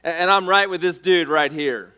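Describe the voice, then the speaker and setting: A man preaching a sermon in a church, measured and repeating his key questions. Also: on the high side, bright, forceful tone, slight background noise. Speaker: pastor.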